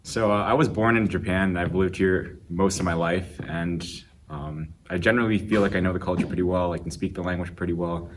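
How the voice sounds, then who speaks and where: A teenage boy with a very deep voice speaks haltingly, stopping often to gather his thoughts. A faint hum of ambience sits behind him. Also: steady tone. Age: teens. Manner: hesitant, with many fillers. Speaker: student. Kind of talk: opinion statement in a discussion.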